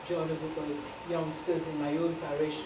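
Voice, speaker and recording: Lowish-voiced woman, echoey room. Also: little pitch movement, normal speed, recorded from a distance. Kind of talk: announcement and remarks to a gathering. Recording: echoey, noisy room from afar.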